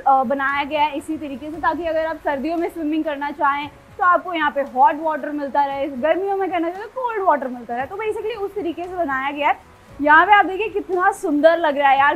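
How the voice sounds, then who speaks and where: A woman's voice: high voice, a bit bright, rough recording. A young woman hosting a house tour in a chatty, conversational way, speaking straight to viewers and mixing in English words. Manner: conversational, addressing viewers directly. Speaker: property tour host. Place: large house, its covered poolside and garden.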